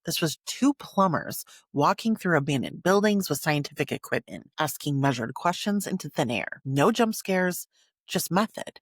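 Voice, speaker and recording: A woman with a fairly low voice narrates a scripted documentary podcast. Her pitch moves expressively as she lands key words with deliberate pauses, and the sound is close and dry. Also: very animated, slightly rough, dry recording. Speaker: podcast host.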